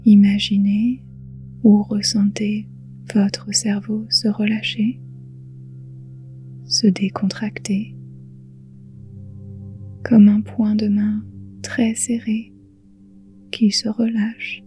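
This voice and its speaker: A woman guiding a relaxation meditation in a soothing, hushed, breathy voice, leaving long pauses between phrases. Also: medium pitch, little pitch movement, faint music behind. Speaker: yoga nidra guide.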